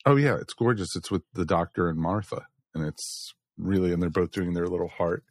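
A man's voice: low voice, brisk, no room sound, clean studio sound. An American man hosting a podcast in a casual, chatty style. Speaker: podcast host.

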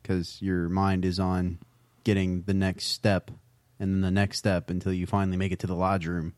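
A man chatting casually as a podcast co-host, with a dry, deadpan and learned wit. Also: low-pitched, normal speed, no echo. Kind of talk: casual chat.

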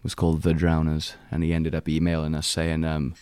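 A young man with a Welsh accent chats as a guest musician. His voice sits very low and comes through smooth and clear. He talks softly and haltingly, recorded dry with little room sound. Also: monotone, no echo. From Wales. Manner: soft-spoken, halting, laughs often. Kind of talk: casual interview chat.

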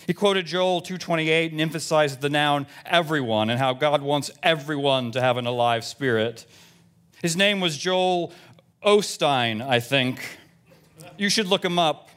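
Male performer as elderly busybody church lady, self-righteous, indignant, gossipy, blunt, comic letter reading, somewhat high pitch. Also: unhurried, a bit bright, smooth. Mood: chirpy, pointed. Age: seventies. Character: nosy, self-righteous Southern church lady in her seventies. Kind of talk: comic reading of emails aloud.

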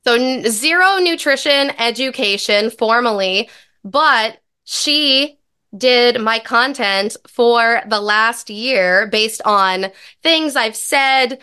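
A woman in her thirties hosting a podcast in a casual, upbeat way, her voice a little raspy from recovering from a lost voice. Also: on the high side, takes it slowly, bright, forceful tone. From American Midwest. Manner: upbeat, chatty. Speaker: podcast host and dietitian.

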